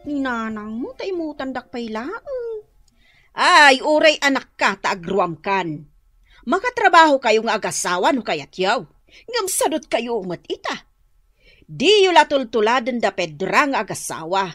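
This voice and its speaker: High-voiced, exasperated old Ilocano mother. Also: measured pace, dry recording, studio quality, exaggerated voice. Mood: reproachful lecturing. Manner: expressive, reproachful. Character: exasperated elderly mother, preachy about hard work. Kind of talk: radio drama acting.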